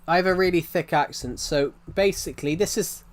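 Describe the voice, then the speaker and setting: A man's voice: fairly high pitch, brisk, faint music behind. Rambling young British man, thick accent. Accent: thick regional British. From United Kingdom, outside London. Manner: rambling, repeats himself, many restarts. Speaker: game streamer. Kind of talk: casual live-stream chat.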